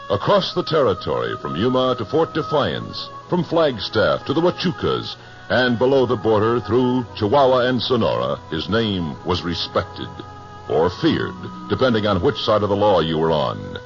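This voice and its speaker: A man's voice: lowish voice, full character voice. A man acting as the grand, solemn announcer of a radio western, with an American accent and dramatic delivery. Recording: dull 1950s radio recording with music.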